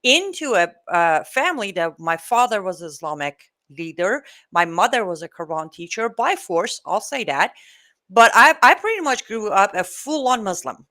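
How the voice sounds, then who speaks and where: A woman's voice: medium pitch, normal speed. Outspoken Iranian-born woman in her forties. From Iran. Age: 40s. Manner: outspoken, animated storytelling. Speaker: guest. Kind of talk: casual interview talk.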